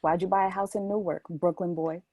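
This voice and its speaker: A woman's voice: lowish voice, steady tone, brisk, a little mellow, no echo, natural voice. A woman playing a bold, teasing, insistent city woman in her thirties in an audio play. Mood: accusing, probing.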